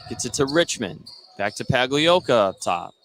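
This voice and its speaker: A man's voice: mid-range voice, very animated, moderate pace. A man doing live play-by-play radio commentary on basketball, in clipped running phrases that follow the action. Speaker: play-by-play announcer. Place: indoor basketball game. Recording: clear background noise from live gym.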